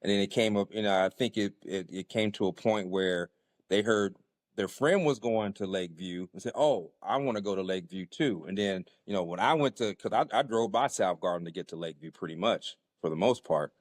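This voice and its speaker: Deep-voiced easygoing man. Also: fairly quick, no room sound, studio quality. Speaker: host. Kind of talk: casual conversation.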